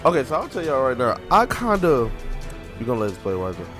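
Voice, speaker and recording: A man's voice: mid-range voice, huge pitch swings, quick pace, a bit bright. A man giving casual, slangy reaction commentary as a gaming host, often repeating his phrases. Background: music from the video.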